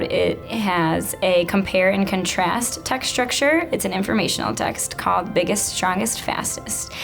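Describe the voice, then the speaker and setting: A woman who teaches kindergarten, with plenty of creak in her mid-pitched voice. She explains in an instructional way, restating points clearly as she guides a read-aloud. Soft music can sit behind her. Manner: instructional, repeats and expands on answers.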